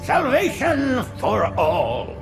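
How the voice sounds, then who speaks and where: High, creaky old alien prophet. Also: male voice, huge pitch swings, extremely slow, heavily acted. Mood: reverent. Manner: florid, reverent preaching. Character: pious, sanctimonious old male alien prophet. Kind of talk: dramatic video game acting. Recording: music behind the voice.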